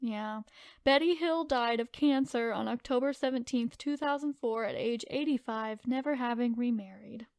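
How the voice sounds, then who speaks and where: A woman with a fairly high voice co-hosts a podcast. She tells things in an informal, conversational way, sometimes reading from prepared notes. The sound is dry, with very little room echo. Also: normal speed, a little mellow, clear, resonant tone. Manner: informal, filler-heavy, hedging retelling. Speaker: podcast co-host telling the story. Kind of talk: casual chat mixed with reading from notes.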